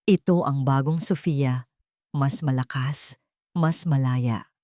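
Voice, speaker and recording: A woman's voice: a bass voice, slow pace, mellow, dry recording, studio quality, pitched below the performer's own voice. A woman narrator voicing a wounded, soul-searching woman in a story, earnest and confiding. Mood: resolved, tender.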